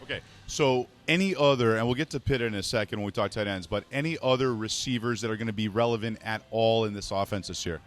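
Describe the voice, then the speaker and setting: A man's voice: lowish voice, faint music behind. A man from Montreal hosting a fantasy sports radio show in casual, joking chat. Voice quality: joking, brisk. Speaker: podcast host.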